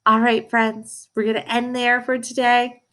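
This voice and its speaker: A woman reading a storybook aloud to young listeners in an expressive, direct way, as a read-aloud host. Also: fairly high pitch, measured pace.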